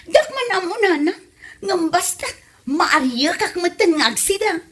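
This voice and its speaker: A woman's voice: very high-pitched, expressive, unhurried, a bit bright, full character voice. A woman playing a haughty, fussy village housewife in a comedy audio drama, whining and emphasizing things dramatically. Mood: haughty, whiny. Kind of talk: comedy radio drama acting.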